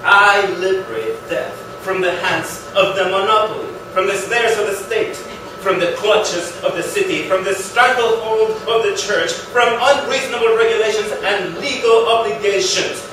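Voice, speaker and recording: A man's voice: sits high, normal speed, close to an everyday voice. A man acting a pompous, scornful undertaker and businessman in a staged play reading, declaiming with bombast and sarcasm. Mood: indignant, grandiose. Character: pompous, scornful, grandiose cut-rate undertaker and businessman husband. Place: live reading in an echoey performance room. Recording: roomy, distant, noisy live theatre recording.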